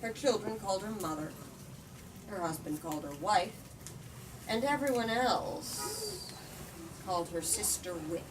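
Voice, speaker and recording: A woman reading a storybook aloud in an expressive, deliberate way, as the host of a book discussion. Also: mid-range voice. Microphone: far from the speaker. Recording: distant mic, noisy room.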